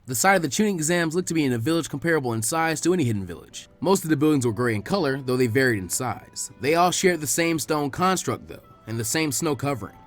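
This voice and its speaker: Man, scripted story narration, quick pace, medium pitch, soft music behind. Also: expressive. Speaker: fan fiction narrator.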